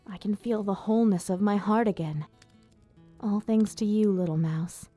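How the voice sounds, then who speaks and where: A woman playing an elegant vampire ruler, proud yet tender, speaking in formal, measured phrases in an ASMR roleplay. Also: mid-range voice, dark tone, soft background music, close to an everyday voice. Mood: tender, grateful. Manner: formal, measured, theatrical diction. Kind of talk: ASMR roleplay, scripted monologue to listener.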